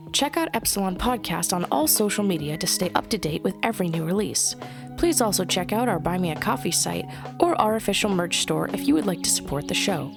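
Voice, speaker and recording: A woman's voice: medium pitch, vocal fry. A woman reading scripted closing credits as an announcer for an audio drama. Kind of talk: scripted narration.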